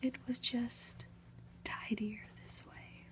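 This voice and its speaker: A woman reads a storybook aloud for ASMR in a soft, breathy, gentle whisper, with deliberate, unhurried phrasing. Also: on the high side, level tone. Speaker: ASMR reader.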